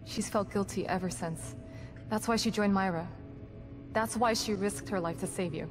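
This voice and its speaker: An actress playing a composed, caring and brave team psychologist in a video game, explaining things in a reassuring manner. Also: female voice, mid-range voice, moderate pace, lightly acted. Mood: consoling. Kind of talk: dramatic video game acting. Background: music.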